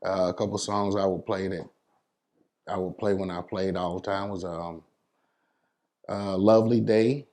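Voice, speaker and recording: Low-voiced American man, casual and colloquial. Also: fairly even intonation, normal speed, pauses often, darkish tone. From New York City, USA. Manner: colloquial, peppered with "you know what I'm saying". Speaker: DJ, interview guest. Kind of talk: casual interview talk.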